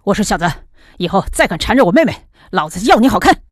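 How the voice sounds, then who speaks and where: A woman's voice: mid-range voice, big pitch swings, fast, no echo, studio quality, voice pushed down. A woman voicing a tough, cocky young man, a protective brother in an audiobook, blunt and dismissive. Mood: threatening. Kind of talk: audiobook character dialogue.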